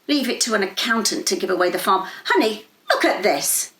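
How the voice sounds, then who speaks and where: A woman's voice: mid-range voice, animated, fairly quick, a bit bright, roomy sound, natural voice. Woman reading as a distracted, fretful father. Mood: annoyed. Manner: muttering, preoccupied.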